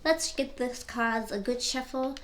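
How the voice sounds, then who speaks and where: A woman's voice: a little high, unhurried, slight background noise, poor audio. Chatty young woman reading tarot cards. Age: 20s. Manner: chatty, rambling, repeats questions while shuffling. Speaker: tarot reader. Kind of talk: casual tarot reading to camera. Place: home, seated in front of camera.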